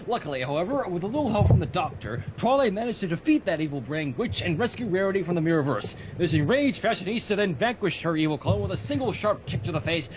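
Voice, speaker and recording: A man's voice: high-pitched, a little hiss or hum, poor audio. A man reading fan fiction aloud on a livestream in a storybook narrating style, with casual asides.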